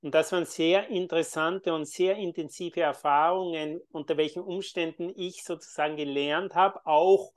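A man who teaches meditation and yoga, answering interview questions in a calm, thoughtful way with frequent fillers. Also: on the high side, moderate pace, few pauses. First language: German.

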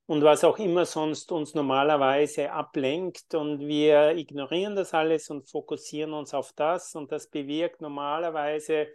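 A man who teaches meditation and yoga, answering interview questions in a calm, thoughtful way with frequent fillers. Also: a little high, moderate pace, hardly any pauses, smooth. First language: German.

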